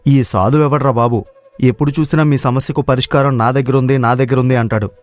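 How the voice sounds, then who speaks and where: Man acting a fast-talking cocky young village idler. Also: mid-range voice, steady tone, natural voice. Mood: mocking. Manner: brash, impatient. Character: lazy, cocky young male village daydreamer. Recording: music playing behind the voice.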